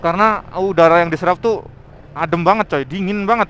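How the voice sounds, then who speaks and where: High-voiced man vlogging on a motorbike. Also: fast, slight background noise. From Indonesia. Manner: excitable, exclamatory, interjection-filled. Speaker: motovlogger. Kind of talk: casual riding vlog commentary, slangy. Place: outdoors, riding a motorbike on a forest mountain road.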